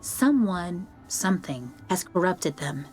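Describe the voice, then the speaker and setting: An actress voicing a friendly, earnest young female wizard in a video game, expressive and chatty. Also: on the low side, takes it slowly. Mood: earnest, pleading. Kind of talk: video game character acting. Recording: game music behind the voice.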